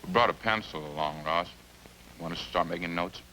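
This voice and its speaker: A low but forceful-toned police sidekick in old radio drama. He is plain, practical and brief, heard on a noisy vintage recording. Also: brisk. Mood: mild, casual. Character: plain-spoken male police detective sidekick, mild and practical.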